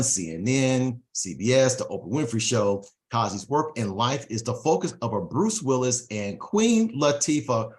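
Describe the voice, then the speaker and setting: A man hosting a live online podcast interview, hyping up his guest with casual slang and repeated phrases, speaking over a video call. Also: mid-range voice. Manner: hype emcee energy, casual slang, repeats himself. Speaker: podcast host.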